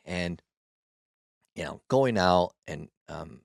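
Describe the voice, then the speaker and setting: A man in his twenties, a podcast guest, telling his story in a relaxed, halting way with frequent restarts. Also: low voice, gravelly, dry recording, studio quality. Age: 20s. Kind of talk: casual storytelling.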